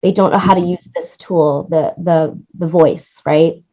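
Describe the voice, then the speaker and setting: Woman, lowish voice, casual interview guest, animated explainer, muffled lo-fi recording. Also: measured pace. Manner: animated explaining, acts out quoted lines, seeks agreement with "right?". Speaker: interview guest, music supervisor and audio producer.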